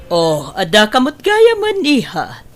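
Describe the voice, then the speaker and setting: An actress playing a controlling middle-aged mother in an Ilocano audio drama, insistent and deliberate, with an Ilocano accent. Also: mid-range voice, wildly swooping pitch, faint music behind. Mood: coaxing.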